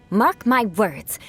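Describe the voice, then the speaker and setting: A woman's voice: mid-range voice, fairly bright tone. A woman voicing a proud, haughty young noblewoman knight in an anime-style video game, theatrically vengeful and formal in her speech. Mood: haughty, menacing. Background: game music.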